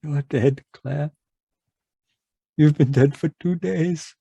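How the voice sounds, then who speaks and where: Man playing a haunted, slow-speaking horror radio narrator. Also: on the high side, creaky, warm tone, husky, no echo, heavily acted. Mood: horrified, anguished. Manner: halting, repetitive, melodramatic delivery. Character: anguished, haunted man raving about monstrous giant worms. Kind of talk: old-time radio horror acting, dramatic monologue.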